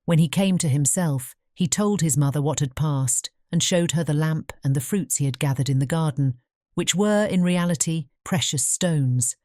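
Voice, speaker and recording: Female storybook narrator: mellow, measured bedtime reading, recorded very dry and close. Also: low voice, no room sound. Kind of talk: scripted bedtime fairy-tale narration.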